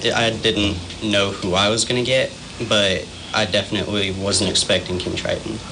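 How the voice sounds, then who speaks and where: A man's voice: fairly low pitch, little pitch movement, moderate pace, creaky, noisy background. A teenage boy describing his stage role in a plain, matter-of-fact way. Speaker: young actor guest. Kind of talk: casual interview answers.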